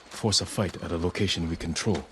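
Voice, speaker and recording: Man acting a low-voiced stoic samurai. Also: steady tone, background noise, close to an everyday voice. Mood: grave, resolute. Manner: terse, commanding, measured. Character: stoic commanding samurai warrior man, grim and resolute. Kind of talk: cinematic video game acting. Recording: dramatic music behind the voice.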